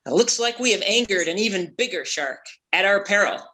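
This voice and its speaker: A formal sea captain from a stage comedy script, played by a woman. The voice is bright and forceful, and the phrasing is pompous and old-fashioned. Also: mid-range voice, expressive, brisk, low-quality recording. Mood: grave, pompous. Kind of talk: theatrical script table read.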